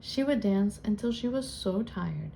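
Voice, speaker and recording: A woman reading a children's storybook aloud in an expressive storytelling style, as host. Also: mid-range voice, unhurried, gravelly, slight background noise, low-quality recording. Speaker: children's book reader and channel host.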